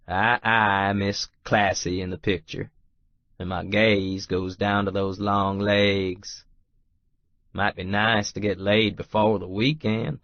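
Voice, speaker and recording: A man's voice: on the low side, no room sound, lo-fi sound. A man reading a first-person novel aloud as an audiobook narrator.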